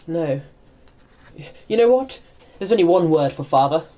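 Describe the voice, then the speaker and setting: A man plays a timid, inept brother in an audio comedy. He is snobbish yet hesitant, stumbling evasively over his words in a high voice for a man. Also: animated, a little slow, mellow, lo-fi sound. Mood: confiding, snobbish. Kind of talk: comedy audio drama acting.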